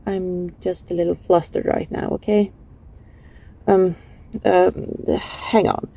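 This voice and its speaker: A woman playing a lovesick, desperately devoted former bully from the future in an intimate audio roleplay, speaking haltingly and emotionally in a soft, husky voice. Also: fairly low pitch, flat delivery, full character voice. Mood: flustered, earnest. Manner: halting, emotional, breathy sighs and stammers.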